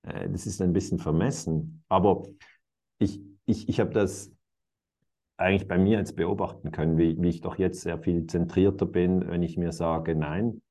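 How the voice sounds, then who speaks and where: A man's voice: low voice, fairly even intonation, normal speed, dark tone. A man in his fifties talking reflectively in conversation, musing aloud and chuckling now and then. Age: 50s.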